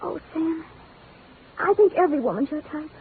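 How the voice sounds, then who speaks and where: A woman's voice: extremely high pitch, expressive, normal speed, vocal fry, full character voice. An actress playing an adoring, naive, girlish secretary in old radio comedy style, fluttery and gushing. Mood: lovelorn. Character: adoring, girlish female secretary, breathless and lovelorn. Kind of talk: 1940s-50s radio comedy acting. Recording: tinny, noisy old radio broadcast recording.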